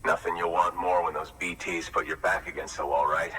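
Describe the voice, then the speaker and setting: Gruff, low-voiced older loner. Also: male voice, flat delivery, fairly quick, pushed and bright. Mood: grudgingly friendly. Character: gruff, wary older prepper and weapon maker. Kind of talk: video game cutscene acting. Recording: slightly distant mic, faint background noise.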